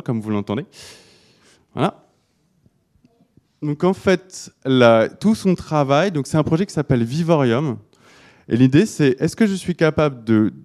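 Male speaker, warm mellow tone, halting presentation to a live audience, frequent restarts. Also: mid-range voice. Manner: hesitant, many restarts and fillers. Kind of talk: live talk on design with slides.

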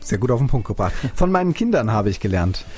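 A man hosting a casual radio interview in a studio, jovial and quick to affirm his guest. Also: on the low side, very animated, darkish tone, faint music behind. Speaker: radio host.

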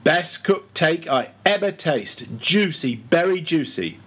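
Man putting on a grumbling old ship's cook, high-pitched. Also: expressive, takes it slowly, fairly bright tone, husky, heavily acted. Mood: sullen. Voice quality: muttering, mumbling. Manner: dialect speech, testy. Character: grumbling, sullen ninety-year-old sailors' cook, old man.

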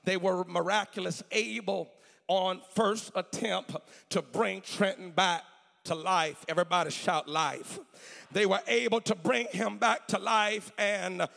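Gravelly, high-voiced man preaching in a reverberant hall. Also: unhurried. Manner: theatrical, emphatic, repeats phrases, swings from hushed to shouted. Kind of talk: dramatic storytelling sermon with call-and-response. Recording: long reverberant hall sound.

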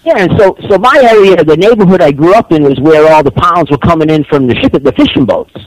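A man's voice: on the high side, fairly quick, no room sound, low-quality recording. An older man from Rhode Island, a guest calling in over a computer phone, telling his story in a laid-back way and swearing casually. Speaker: guest caller. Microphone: computer phone call.

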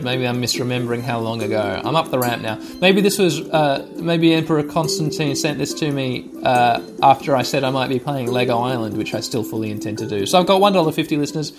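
A man hosting a podcast, giving chatty, wry running commentary as he plays a video game for listeners. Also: mid-range voice. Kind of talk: narrating gameplay to listeners.

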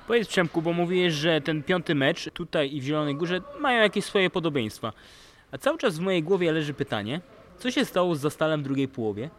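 Male interviewer, quick pace, fairly high voice, conversational sports questions, noisy ambience. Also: expressive, fairly bright tone. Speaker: sports podcast co-host, interviewer. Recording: clearly noisy background ambience.

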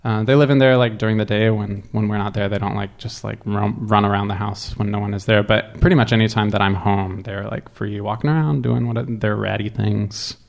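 A man's voice: fairly low pitch, steady tone, brisk, vocal fry, few pauses. A man talking casually as a guest, telling personal stories in a wry, self-deprecating way. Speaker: guest storyteller.

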